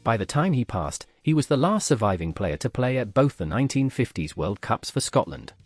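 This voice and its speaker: A man narrating a scripted football history piece in a measured way, letting each sentence fall at its end. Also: mid-range voice, very animated, no echo, soft background music.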